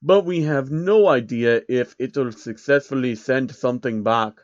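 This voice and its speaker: A man's voice: mid-range voice, unhurried, lo-fi sound, lightly acted. A man voicing a smug, matter-of-fact laboratory scientist for a story reading. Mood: explaining. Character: tall, pale, smug male laboratory scientist.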